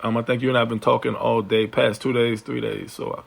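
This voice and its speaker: A man hosting a late-night podcast, hoarse from a sinus infection, speaking haltingly with many ums and formal, respectful questions. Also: mid-range voice, flat delivery. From East Coast of the United States. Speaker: podcast host.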